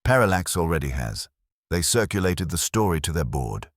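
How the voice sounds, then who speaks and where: A man's voice: deep voice, big pitch swings, normal speed, dry recording, studio quality. A man voicing a reserved, steely woman in an audiobook mystery, controlled and precise. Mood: grim.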